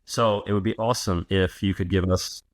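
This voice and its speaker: A man hosting an interview, asking questions in a relaxed, chatty way. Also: low-pitched, moderate pace. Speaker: podcast host.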